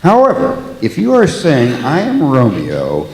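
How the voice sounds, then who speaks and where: A man's voice: medium pitch, wildly swooping pitch, a little slow, darkish tone. A man acting a reluctant, middle-aged husband in a live comedic dramatic reading, grumbling and wry. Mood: grumbling, evasive. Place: church sanctuary, live performance. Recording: reverberant hall, echoey.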